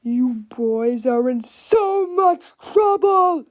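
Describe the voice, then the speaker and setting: A woman voicing an angry, bullying middle-aged male school principal from a storybook, shouting and growling with menace. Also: very high voice, very animated, extremely slow, pitched above the performer's own voice, exaggerated voice. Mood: furious.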